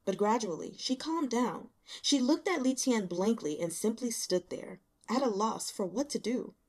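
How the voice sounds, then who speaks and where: A woman reading fiction aloud as an audiobook narrator, in an expressive storytelling style. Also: on the high side, normal speed. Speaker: audiobook reader.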